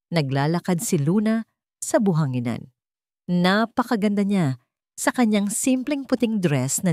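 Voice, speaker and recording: A woman's voice: lowish voice, a little mellow, no room sound, clean studio sound. A woman narrating a dramatic romance story in a sentimental, emphatic storytelling manner.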